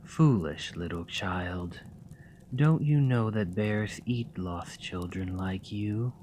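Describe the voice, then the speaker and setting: Storybook bear: huge, gruff, slow and deep-voiced, read by a man. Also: a little mellow, a little hiss or hum. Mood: grumpy. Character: enormous gruff male bear, stern.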